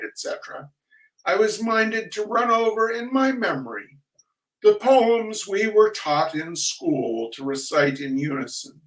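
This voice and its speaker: A man reads comic essays aloud at an unhurried, measured pace, with a theatrical touch to his delivery. His voice sits at an ordinary pitch. The recording is roomy and echoing, picked up some way from the microphone. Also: fairly bright tone. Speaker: reader of humorous essays. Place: echoey room, recorded at a distance from the mic. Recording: echoey room, distant microphone.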